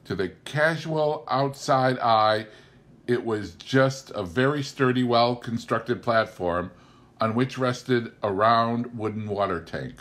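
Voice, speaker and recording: A middle-aged man reads a novel aloud in a measured, deliberate storytelling way. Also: mid-range voice, a bit bright. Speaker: audiobook reader. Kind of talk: scripted narration of a novel.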